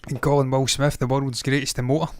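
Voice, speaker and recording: A man's voice: mid-range voice, little pitch movement, fast talker, a little mellow, no room sound. A man in his thirties hosting a movie news show, talking casually, with rambling opinionated asides. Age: 30s.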